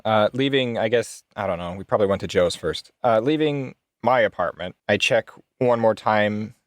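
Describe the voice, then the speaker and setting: A man's voice: on the low side, moderate pace, dry recording, clean studio sound. A man playing a tabletop roleplaying game, chatting casually and describing his character's actions.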